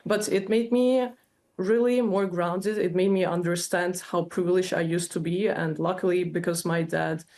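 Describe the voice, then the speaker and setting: A woman's voice: fairly low pitch, steady tone, moderate pace, a little mellow. Earnest young woman. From Ukraine. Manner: earnest, explanatory. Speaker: interview guest.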